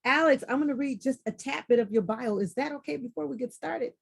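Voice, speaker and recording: A woman hosting a podcast in an excited, effusive way, often repeating phrases for emphasis. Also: high-pitched, a little fast, creaky, husky. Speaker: podcast host.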